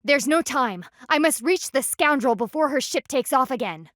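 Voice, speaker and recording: A proud, stern panda guardian from a video game. Her voice sits fairly high and is recorded dry and close. She speaks formally and haughtily, clipping her words like a military commander. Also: expressive, normal speed, a bit bright, no room sound. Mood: stern, businesslike.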